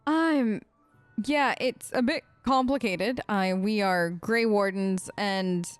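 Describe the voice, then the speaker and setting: A woman's voice: mid-range voice, slow, clear, resonant tone, faint music behind. A woman hosting a let's play, giving casual, chatty role-play commentary and musing in character.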